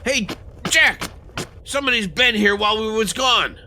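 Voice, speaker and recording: A piercing, forceful voice with strong bite. It is an edgy male outlaw on the run, alert and suspicious in the manner of an old radio adventure. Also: high voice, animated, moderate pace, slight background noise, exaggerated voice.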